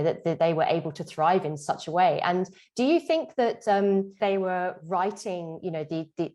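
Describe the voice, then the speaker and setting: Lower-pitched woman hosting a podcast. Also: a little mellow. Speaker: podcast host. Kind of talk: podcast interview, scripted intro and casual questions.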